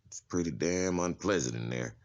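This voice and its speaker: Tough male convict for a comic drama, played by a male actor: extremely low voice, slangy, foul-mouthed, laid-back phrasing. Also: expressive, normal speed. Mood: blunt, crude. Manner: casual, slangy, profane. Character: tough, streetwise adult male prison inmate giving a tour. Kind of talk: comic-book dramatization, character acting.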